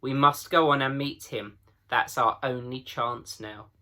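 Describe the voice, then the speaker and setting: A man's voice: mid-range voice, steady tone, a little slow, sharp tone. A man voicing a blunt, grave male beaver in a storybook reading, with weighty, urgent delivery.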